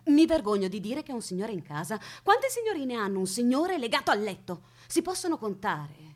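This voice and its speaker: A woman's voice: a little high, big pitch swings, brisk. An actress playing an eccentric, dotty unmarried woman who keeps a captive, speaking with childlike certainty and coy formal politeness in a radio play. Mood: prim, evasive. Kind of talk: theatrical radio drama acting.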